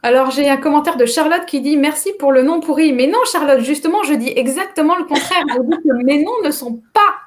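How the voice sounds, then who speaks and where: Chatty woman with a high voice. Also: brisk, hardly any pauses. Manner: chatty, laughing, hesitant. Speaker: author host. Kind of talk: casual live chat.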